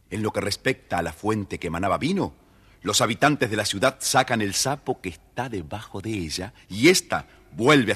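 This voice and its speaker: A man with a husky voice, narrating a scripted children's story. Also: fairly low pitch, a bit bright.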